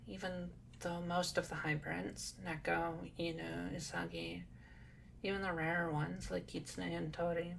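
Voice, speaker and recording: A woman acts out a shy young cat girl in an audio roleplay. She speaks slowly and softly, with creak in her voice, halting and apologetic as she picks polite words. Faint noise sits behind her. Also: fairly low pitch, full character voice, low-quality recording. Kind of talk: ASMR roleplay audio drama.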